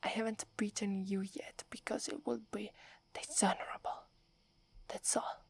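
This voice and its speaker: A woman with an Italian accent voicing a proud young werewolf woman, whispering softly close to the mic and stammering when flustered. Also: mid-range voice, steady tone, measured pace, gravelly, husky. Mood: impatient. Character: proud young werewolf woman, gruff but secretly fond. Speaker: ASMR roleplay performer. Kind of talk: whispered ASMR roleplay.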